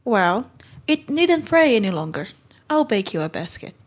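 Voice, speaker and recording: A woman's voice: on the high side, big pitch swings, normal speed. A woman playing a stern but kind middle-aged guardian in a storybook read-along, brusque and scolding. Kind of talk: acted audiobook read-along.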